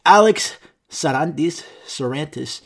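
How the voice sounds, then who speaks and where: A man's voice: on the high side, lively intonation, slow pace, fairly bright tone, breathy edge. A young man who grew up speaking Greek, rambling casually and swearing while answering viewer questions. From United States. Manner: rambling, profane, self-mocking. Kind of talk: casual solo Q and A.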